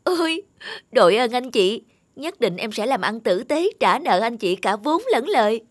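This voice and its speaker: Woman voicing a high, whiny, lazy young man. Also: sing-song, moderate pace, dry recording, clean studio sound. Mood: gleeful. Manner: plaintive, dramatic exclamations. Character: lazy, whining, self-pitying poor young man. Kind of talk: cartoon folk-tale dubbing. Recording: music under the voice.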